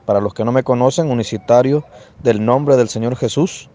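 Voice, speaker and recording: A Salvadoran man introducing himself in a religious debate, declaiming like a preacher in deliberate phrases. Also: medium pitch, mellow. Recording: dull, lo-fi voice audio.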